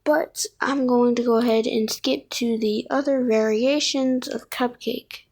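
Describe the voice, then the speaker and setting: A woman's voice: a little high, steady tone, a little slow, darkish tone, breathy edge. A woman hosting casual fan commentary on game characters, thinking aloud and often correcting herself. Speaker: video creator, host.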